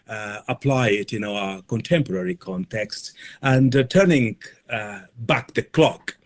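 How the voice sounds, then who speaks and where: A man speaking as an interview guest, explaining things in formal, academic wording with halting delivery. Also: mid-range voice, fairly bright tone. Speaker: guest, reverend and mission organisation officer. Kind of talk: considered explanatory interview answers.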